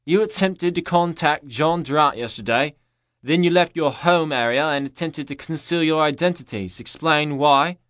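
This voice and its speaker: A man reading an audiobook, voicing a stiff male agent controlled by a machine, speaking loudly and haltingly with broken, robotic grammar. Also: on the high side, no echo, studio quality, close to an everyday voice. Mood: stilted. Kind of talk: audiobook character voice.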